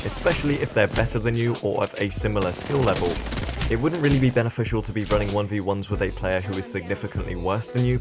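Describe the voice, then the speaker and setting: A man with a croaky, hoarse voice, giving a brisk tutorial voice-over with self-mocking asides. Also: fairly low pitch. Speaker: gaming YouTuber.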